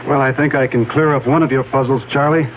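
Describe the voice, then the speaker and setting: A tinny old radio recording with faint hum presents an actor as a probing male investigator. He speaks in a mid-pitched voice, measured and wry, with pointed suspicion typical of 1970s radio drama. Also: fairly even intonation, natural voice. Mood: dry, suspicious.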